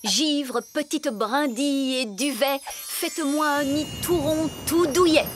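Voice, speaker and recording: A woman's voice: high voice, slow pace, over music. A woman voicing a bossy, self-assured fairy nanny in a French cartoon dub, with a know-it-all manner. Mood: brisk, polite.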